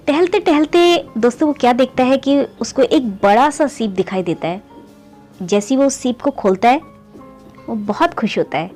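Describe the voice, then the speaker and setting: A woman narrating a moral story in a conversational way, often addressing listeners as friends and lifting her voice at each new turn of the tale. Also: a little mellow. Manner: keeps calling listeners "friends", pitch rising on story beats.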